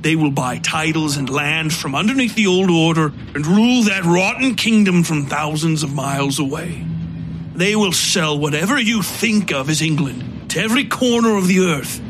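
Man acting a pompous, scornful rich ranter. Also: high-pitched. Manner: pompous, theatrical speechifying. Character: ranting, scornful, drunken American man of wealth, a bigoted theorist. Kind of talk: dramatised audiobook dialogue.

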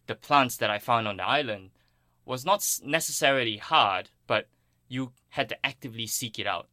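A man's voice: mid-range voice, sharp tone, dry recording, studio quality. A man from Singapore giving earnest, thoughtful explanations as an interviewed plant ecologist.

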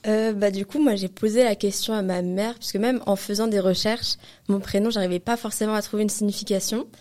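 A woman's voice: mid-range voice, steady tone, brisk, a little mellow. An eighteen-year-old woman from France, a student guest on a podcast, chatting casually about herself. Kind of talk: casual personal chat.

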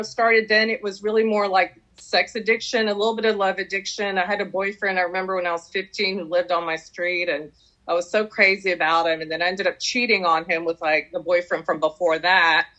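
A woman's voice: medium pitch, fairly bright tone. A middle-aged woman from Canada whose first language is French, candidly sharing her personal story at a support meeting. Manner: candid, confessional, reflective. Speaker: recovery group member sharing her story. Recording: dull lo-fi recording.

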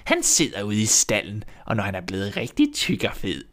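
A man voicing a cruel, greedy old witch with a thin, crackly voice and slow, drawn-out speech. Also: fairly low pitch, huge pitch swings, fairly bright tone, full character voice. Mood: menacing.

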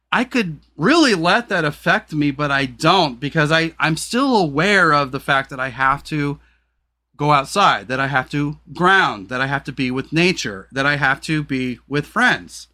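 A man hosting a casual podcast conversation, talking frankly and swearing freely, rambling with lots of fillers. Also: bright, forceful tone. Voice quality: deep voice. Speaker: podcast host.

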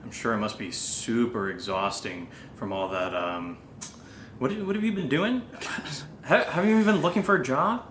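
A man's voice: medium pitch, normal speed, a bit bright, echoey room, a little hiss or hum, natural voice. A man acting a brash, upbeat, sweary young roommate in a naturalistic audio drama, breezy and cajoling. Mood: awkward, casual.

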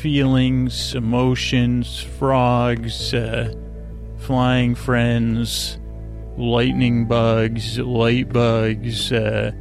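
A man hosting a bedtime podcast in an improvised, meandering monologue, hesitant and halting, full of false starts and digressions. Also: medium pitch, monotone, vocal fry, music in the background. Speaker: podcast host. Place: outdoors at night.

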